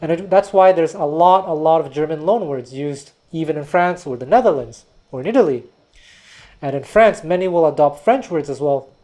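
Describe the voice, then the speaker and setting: A man's voice: on the high side, normal speed, mellow. A man from Quebec whose first language is Taiwanese, giving a thoughtful, digressive educational history talk. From Quebec, Canada. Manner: thoughtful, digressive, often restarts words. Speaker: music teacher and video host.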